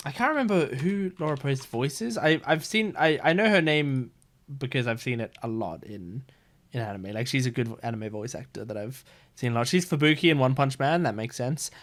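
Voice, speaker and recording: A man's voice: medium pitch, fairly bright tone. A man hosting a reaction video, giving casual, gushing and enthusiastic commentary.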